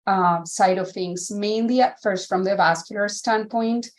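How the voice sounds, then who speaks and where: A woman's voice: mid-range voice, a little slow. Chatty woman guest from Colombia. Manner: warm, chatty, frequent fillers. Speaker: guest, vascular surgeon. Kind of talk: casual interview.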